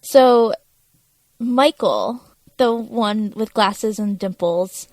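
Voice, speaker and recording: A woman's voice: mid-range voice, drawn-out words, a little mellow, no echo. A woman co-hosting a podcast, chatting informally as she recaps television. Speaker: podcast co-host. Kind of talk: casual TV recap chat.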